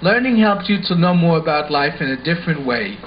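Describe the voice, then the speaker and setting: Man as puppet schoolboy, earnest, explanatory, highish pitch, muffled audio with faint noise. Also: animated, fairly quick, natural voice. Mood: earnest, instructive. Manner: explanatory, instructive. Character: earnest, sensible schoolboy explaining life to his dinosaur. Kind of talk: scripted puppet show acting. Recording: dull lo-fi sound with faint noise.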